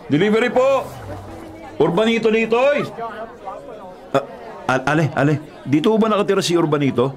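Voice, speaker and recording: An actor playing a caring, hardworking Filipino delivery rider, earnest and coaxing, in a radio drama. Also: male voice, mid-range voice, lightly acted. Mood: weary.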